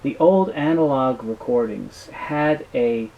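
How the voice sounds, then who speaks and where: A man being interviewed, talking casually and explaining things in a halting way with frequent restarts. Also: mid-range voice, narrow, tinny audio, slight background noise. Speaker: business founder being interviewed.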